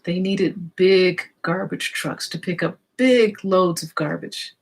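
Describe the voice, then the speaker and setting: A woman's voice: lowish voice, slow pace, breathy edge. A woman reading a children's story aloud, expressively, with explanatory asides and questions for young listeners.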